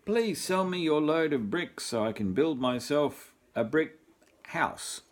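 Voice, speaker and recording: A man's voice: fairly high pitch, expressive, takes it slowly, voice pushed up. Older Australian man as a polite, clever little pig. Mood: polite, cheerful. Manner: polite and firm. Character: clever, cheerful, unflappable little male pig.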